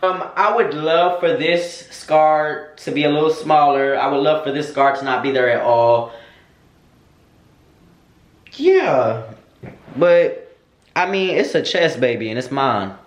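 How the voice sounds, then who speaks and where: A man's voice: a little high, animated, slow pace, pauses often. A young man chatting candidly to camera, sassy and slangy, answering viewer questions. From United States. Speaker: vlogger. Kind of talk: casual Q&A chat to camera. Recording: echoey room.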